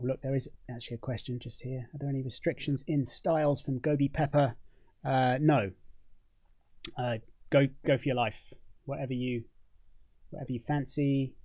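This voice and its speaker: A man's voice: mid-range voice, gravelly. Chatty British man hosting a livestream. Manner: relaxed, chatty, with frequent fillers and restarts. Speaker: host. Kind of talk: casual livestream chat. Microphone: condenser mic.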